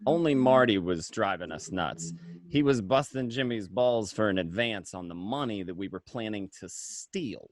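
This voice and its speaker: A man's voice: lowish voice, moderate pace, natural voice. A man playing a talkative, edgy gangster in a radio drama, with a conversational way of talking. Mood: wry narrating. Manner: animated, conversational, repeats himself. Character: talkative, edgy male gangster recounting and scheming. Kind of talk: film script read as radio drama.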